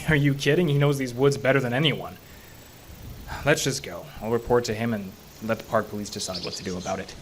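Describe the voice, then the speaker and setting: A man's voice: medium pitch, brisk, slight background noise, lightly acted, poor audio. A man acting a weary, self-interested hiker in an audio horror drama. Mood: wry.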